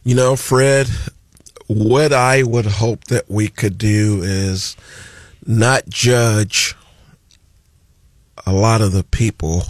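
A man with a deep voice, a guest on a radio talk show, speaking plainly and deliberately. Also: fairly even intonation, no echo. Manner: slow, deliberate, plain-spoken. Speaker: guest, community activist. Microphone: studio microphone.

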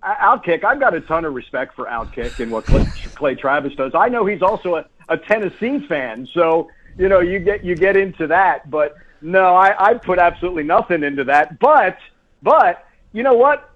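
A male sports announcer speaks as a radio guest in a fairly high voice. He is conversational and emphatic, often repeating a phrase for stress. The line sounds somewhat dull and lo-fi. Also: animated, moderate pace, fairly bright tone. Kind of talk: sports talk radio interview.

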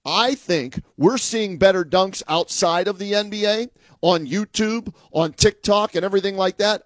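A man's voice: high voice, no room sound. A man hosting a sports radio show, talking casually to a guest in a studio.

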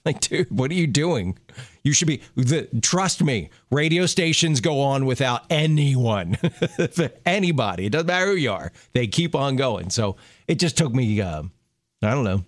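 A man's voice: medium pitch, sing-song, moderate pace, dry recording. A man co-hosting a radio show, chatting in an easygoing way and chuckling often from the studio. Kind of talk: casual radio chat. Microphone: studio microphone.

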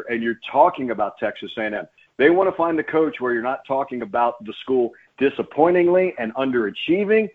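A man speaking as a radio guest and play-by-play announcer, giving emphatic sports commentary and repeating phrases for stress. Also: mid-range voice. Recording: phone-like narrow-band radio line.

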